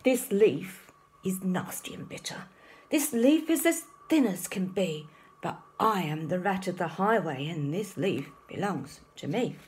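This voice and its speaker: Storybook villain voiced by a woman: thieving male rat highwayman, bullying, swaggering, deliberate pace. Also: animated, a little mellow, a little hiss or hum. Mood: bullying, demanding. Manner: thundering, demanding, boastful.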